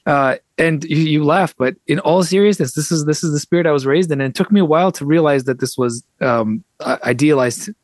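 A man's voice: on the high side, brisk, hardly any pauses, no echo. Wry storytelling man, dry close sound. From United States. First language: Russian. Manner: wry, animated storyteller with frequent restarts. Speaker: guest author and translator. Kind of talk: conversational interview storytelling.

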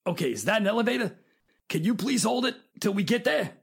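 A man playing a rough, dim crook in a 1940s style radio drama, with slangy, ungrammatical street talk. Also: high-pitched, sing-song, quick pace, exaggerated voice. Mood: brusque.

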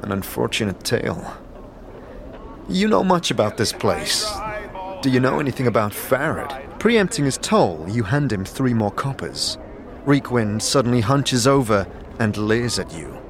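A man narrating a scripted fantasy audio drama in a measured storytelling manner, also speaking as its protagonist. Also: mid-range voice, sing-song, noisy background. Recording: music under the voice.

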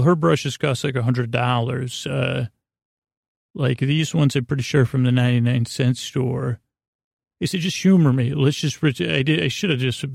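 A middle-aged man rambling through a bedtime monologue as a podcast host, halting, often interrupting himself and self-deprecating. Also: medium pitch, vocal fry, a little mellow, no echo, clean studio sound.

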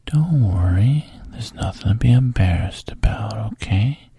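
Coaxing hushed man, breathy and gravelly, low dry whisper, as a narrator puts him on. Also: expressive, a little slow, no room sound, full character voice. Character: soft-spoken coaxing adult man.

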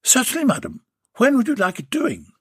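A cheerful, cheeky workman, put on by a storybook narrator in a husky voice pushed well up in pitch. He chirps and teases, and his tone swings lively and bouncy. It is recorded close and dry. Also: fairly quick, dry recording, heavily acted. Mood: chirpy. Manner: chirpy, teasing banter. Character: jolly, cheeky male rubbish remover.

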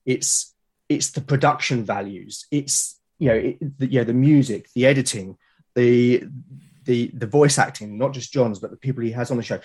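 A man's voice: medium pitch, normal speed, a little mellow, husky. A man chatting casually as a podcast guest, enthusiastic but hesitant, often repeating words as he goes. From Britain. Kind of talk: casual conversational interview.

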